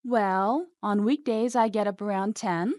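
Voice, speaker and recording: A woman's voice: medium pitch, measured pace, a little mellow, no room sound, clean studio sound, close to an everyday voice. A woman voicing a matter-of-fact TV announcer chatting in a scripted English lesson dialogue. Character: matter-of-fact female TV announcer in everyday chat.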